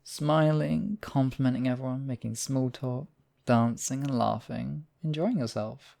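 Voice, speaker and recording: A man playing a gravelly, possessive demon king. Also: medium pitch, dark tone. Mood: wistful, wooing. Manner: theatrical, silky, possessive, drawn-out endearments. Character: possessive, smug, obsessive demon king wooing his captive. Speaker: voice actor. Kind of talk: theatrical roleplay monologue, ASMR audio drama.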